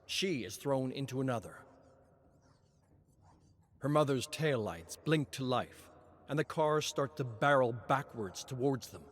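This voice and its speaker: A man reading scripted narration as a storyteller, solemn and measured, with dramatic pauses. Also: mid-range voice, expressive.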